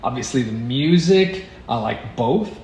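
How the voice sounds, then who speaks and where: A man's voice: medium pitch, lively intonation, unhurried, darkish tone. A Canadian man in his fifties, a native French speaker, talking in a laid-back way with dry humor in a casual interview. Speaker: actor, guest. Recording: dull lo-fi sound with clear background noise.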